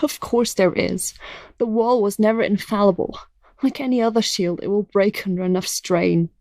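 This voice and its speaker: A woman's voice: mid-range voice, moderate pace, a little mellow, no echo, close to an everyday voice. A woman playing a loving, resolute young heroine in an audio drama, speaking with earnest emotion. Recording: music under the voice.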